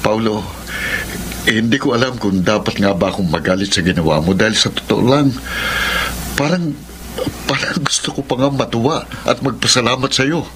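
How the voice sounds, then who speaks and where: A man's voice: on the low side, normal speed, fairly bright tone, a little hiss or hum, natural voice. A man playing a bewildered, forgiving betrayed partner in a Filipino radio soap, earnest and questioning, with a Filipino accent. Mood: calm, forgiving.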